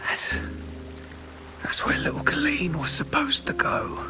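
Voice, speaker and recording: A man playing a frail, weary but caring old man in a video game, halting and breathy, with a weak coughing delivery. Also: extremely high pitch, sing-song, over music, full character voice. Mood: anxious, caring. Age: older.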